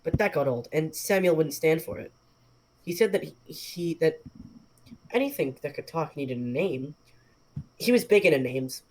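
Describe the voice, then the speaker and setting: A man voicing a calm, wise male snake that talks slowly and matter-of-factly. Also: fairly high pitch, a little hiss or hum, natural voice, poor audio. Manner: slow, measured, matter-of-fact.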